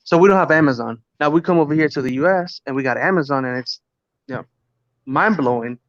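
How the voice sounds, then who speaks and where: A man's voice: on the high side, fast. A man from Cuba hosting a casual livestream, rambling and joking, chuckling as he talks. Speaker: livestream host. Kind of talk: casual chat. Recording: dull lo-fi stream audio.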